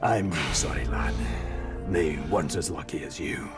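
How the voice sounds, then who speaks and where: An actor playing a cheerful, eccentric dwarf tinkerer in a video game, with a wry, folksy, teasing way of talking. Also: male voice, deep voice, measured pace, loud music behind the voice. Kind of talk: video game cutscene acting.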